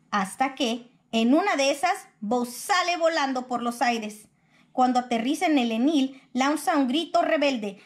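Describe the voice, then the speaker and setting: Mujer de voz aguda leyendo un cuento. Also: female voice, sits high. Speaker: picture book reader. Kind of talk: expressive children's storybook narration.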